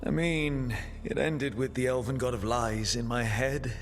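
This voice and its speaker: An actor playing a wry, supportive adventurer and leader in a video game, with a casual delivery. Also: male voice, medium pitch, steady tone, unhurried, faint music behind, natural voice. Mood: wry, amused.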